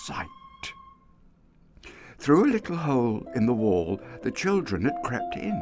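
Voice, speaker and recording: A man giving an expressive dramatic reading of a classic tale, lingering over words and dropping to hushed asides. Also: medium pitch. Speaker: storyteller. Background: soft music underscore.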